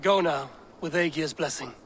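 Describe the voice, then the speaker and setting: An actor playing a steadfast, poetic Viking warrior in a video game, with measured, old-fashioned speech. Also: male voice, on the high side, fairly bright tone, lightly acted. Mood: solemn. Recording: faint background noise.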